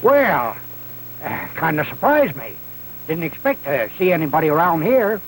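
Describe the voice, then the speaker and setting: An actor playing a folksy, talkative old trail hand in a 1930s western, with a rambling rural Western American drawl. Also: male voice, on the high side, big pitch swings, moderate pace. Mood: folksy, cautioning. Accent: rural American West. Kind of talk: 1930s B-western film acting. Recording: noisy old 1930s film soundtrack.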